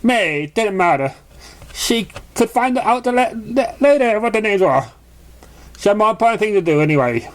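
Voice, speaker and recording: A man's voice: high-pitched, expressive, normal speed. A man reading a story aloud as an amateur narrator, stumbling over words now and then. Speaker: amateur reader of fan fiction.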